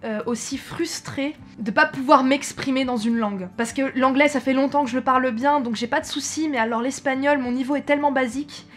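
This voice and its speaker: Chatty young French woman, slightly high. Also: fairly even intonation, fairly quick, few pauses, faint music behind. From France. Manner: chatty, self-correcting, frequent hesitations. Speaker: travel vlogger. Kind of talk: casual chat to camera.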